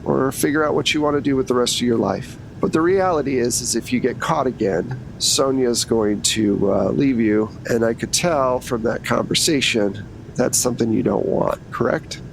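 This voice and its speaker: A cool, businesslike government agent, a woman acted out by a man, lays out terms in a tabletop game. The voice sits at an average male pitch, with faint rumbling noise behind it. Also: normal speed, gravelly, close to an everyday voice, poor audio. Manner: businesslike, bargaining. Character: dealmaking female government agent, coolly in control.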